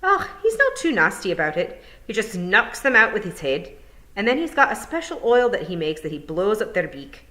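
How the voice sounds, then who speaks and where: A woman's voice: mid-range voice, sing-song, moderate pace, bright, forceful tone, pitched above the performer's own voice. A woman voicing a cheerful, deferential young male pixie with a Scottish accent in a storybook reading. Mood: cheerful, matter-of-fact. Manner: dialect-heavy, addresses listener respectfully as mistress.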